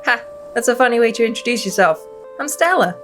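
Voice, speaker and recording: A woman's voice: fairly high pitch, expressive, a little fast, fairly bright tone. Woman voicing a friendly young townswoman, folksy, chatty, visual novel game, music underneath.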